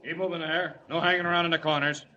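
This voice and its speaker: An actor playing a bossy prison guard giving curt, loud orders, in 1940s radio style. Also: male voice, a little high, flat delivery, fast talker, dull audio. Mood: stern. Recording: noisy old radio recording.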